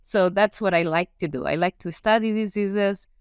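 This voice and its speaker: A woman's voice: medium pitch, normal speed, clear, resonant tone, dry recording, clean studio sound. A woman in her fifties from Spain with a Spanish accent, a veterinary professor being interviewed and talking it through thoughtfully with frequent ums. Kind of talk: podcast interview.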